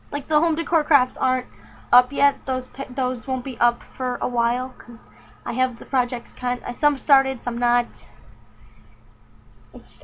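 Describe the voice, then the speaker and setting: A woman's voice: high-pitched, slight background noise, low-quality recording. Chatty young woman. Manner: chatty, rambling, lots of ums and likes. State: a little sick and nasal. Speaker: vlogger. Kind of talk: casual product review vlog.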